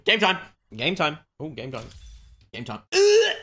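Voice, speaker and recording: An excitable video game streamer chatting exclamatorily on a livestream. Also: mid-range voice, normal speed, soft background music.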